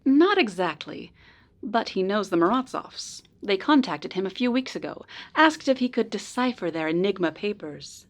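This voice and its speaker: A woman playing a polite, earnest informant in a noir radio drama, speaking in a measured, precise way. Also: medium pitch, sing-song. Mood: earnest, serious. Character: polite, earnest female informant helping a detective.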